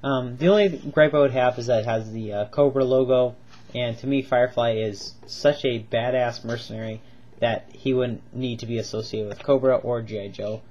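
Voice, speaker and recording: A man's voice: mid-range voice, steady tone, moderate pace, a little mellow, lo-fi sound. A man with a cold reviewing toy figures in a casual home video, rambling and enthusiastic with lots of ums and repeats. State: stuffed up with a cold, coughing.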